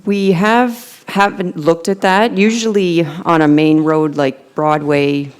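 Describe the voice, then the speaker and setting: A woman with a Canadian accent, a planning consultant presenting a proposal at a council meeting in a measured way with many fillers. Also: lowish voice, steady tone, smooth. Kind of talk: formal presentation and answers.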